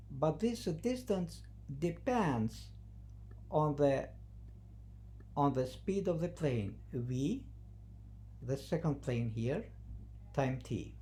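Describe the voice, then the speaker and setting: A non-native English speaker teaching math, thinking aloud haltingly and repeating phrases while writing out the steps. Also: mid-range voice, mellow. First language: not English. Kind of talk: math lesson, working through a problem at the board. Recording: noisy, with a constant hum.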